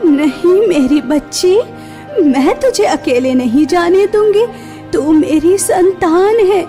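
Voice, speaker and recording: A woman's voice: extremely high pitch, slow, mellow, soft background music. A woman playing an elderly, protective mother, earnest and emphatic, in a melodramatic cartoon story. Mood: devoted, resolute. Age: older.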